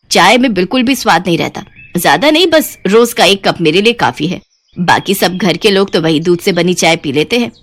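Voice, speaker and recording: A greedy older village mother-in-law from a moral cartoon story , played by a woman. She is pushy and scheming, and she grumbles and wheedles with a smug air at a middling female pitch.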